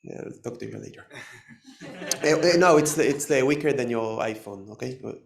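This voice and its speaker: A man with an Israeli accent giving a live technical talk, halting and full of fillers and restarts. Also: medium pitch, creaky. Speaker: tech presenter. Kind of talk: live conference talk with demo.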